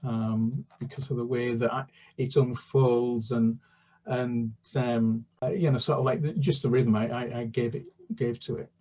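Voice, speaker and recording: A man's voice: medium pitch, steady tone, measured pace, warm tone, distant mic, low-quality recording. A man, a children's author, answering interview questions in a hesitant, rambling, conversational way.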